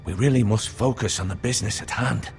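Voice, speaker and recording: An actor playing a loyal, composed counselor to a young lord in a fantasy game, speaking in a measured, formal, deferential way. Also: male voice, lowish voice, creaky, faint music behind. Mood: formal, careful.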